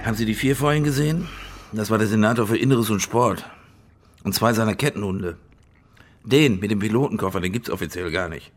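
An actor playing a harried, evasive stadium managing director, flustered and nervously jovial, in a radio crime drama. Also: male voice, fairly low pitch, brisk, soft background music, natural voice. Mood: weary, aggrieved.

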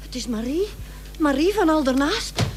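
A woman's voice: sits high, expressive, moderate pace, loud music behind the voice. An actress playing a protective working-class mother in a radio play, anxious and urging. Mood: wary, concerned. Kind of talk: Dutch radio play acting. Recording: hissy old radio play recording.

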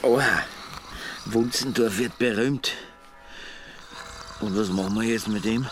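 An actor playing a gruff, hungover Austrian buddy in a radio drama, speaking in a curt, blunt way. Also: male voice, fairly low pitch, a little slow, music in the background, close to an everyday voice. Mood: indignant, baffled.

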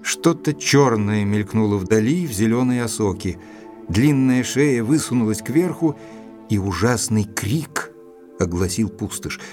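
Low-voiced, reserved gentleman investigator. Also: expressive, measured pace, soft background music. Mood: narrating gravely. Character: reserved, sceptical gentleman investigator telling his story. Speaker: actor and narrator in an audio drama. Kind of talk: dramatised first-person narration and dialogue.

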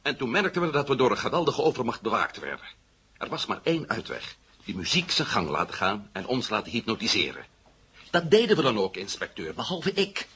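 Husky, animated adventurer. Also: male voice, medium pitch, a little fast, exaggerated voice. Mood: earnest, animated. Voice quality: husky, rough. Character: animated adventurer recounting his captivity to an inspector. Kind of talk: dramatic storytelling, radio play acting.

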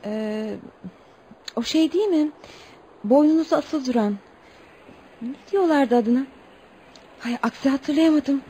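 High-voiced, polite young Eskimo girl. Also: a little slow, long pauses, warm tone. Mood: excited, girlish. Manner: formal, polite, earnest. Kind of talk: Turkish radio theatre acting. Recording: noisy radio play recording.

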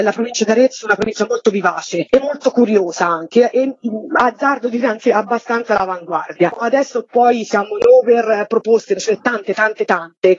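A woman's voice: a little high, a little mellow, husky, no room sound, highs cut off. A woman, chatting enthusiastically as a festival guest in an informal radio interview.

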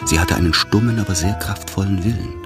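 Male narrator, extremely low pitch, literary radio drama narration, prominent music behind. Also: fast.

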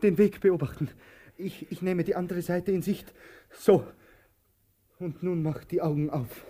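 A man's voice: sits high, steady tone, unhurried, dark tone. A man acting an anxious, bossy tramp in an absurdist radio play, theatrical and exclaiming, between pleading and commanding. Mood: impatient. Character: anxious, bossy male tramp clinging to his companion.

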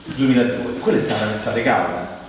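Roman man on stage in echoey hall. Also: on the low side. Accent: Roman Italian. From Rome, Italy. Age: middle-aged. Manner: conversational, expressive, with theatrical pauses. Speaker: comic monologist. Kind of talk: theatrical humorous monologue, stand-up storytelling. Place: theatre stage in front of an audience. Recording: very echoey hall, distant mic, noisy.

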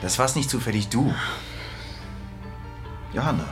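A man's voice: medium pitch, expressive, unhurried, over music, close to an everyday voice. An actor playing an earnest, somewhat awkward man new to a studio, in naturalistic radio drama dialogue. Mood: guarded.

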